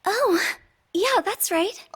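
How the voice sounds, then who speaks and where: A woman voicing a gentle, soft-spoken androgynous young man with a calm, airy manner. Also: very high-pitched, slow, heavily acted. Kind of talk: video game cutscene voice acting.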